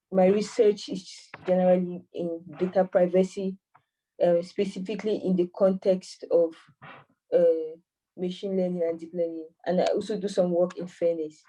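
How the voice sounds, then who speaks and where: A woman's voice: on the low side, mellow, recorded from a distance, low-quality recording. A woman in her twenties from Cameroon, an AI mentor answering interview questions hesitantly with many fillers and restarts. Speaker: AI mentor and master's student.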